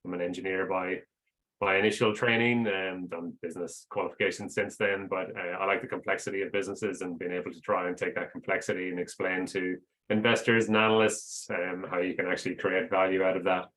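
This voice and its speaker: A man in his forties with a very low voice answers interview questions over a video call. He talks casually and pauses often with uh and um. He has worked his way into investor relations. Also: poor audio. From outside Australia. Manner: relaxed, with many "uh" and "um" fillers. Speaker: investor relations head, guest.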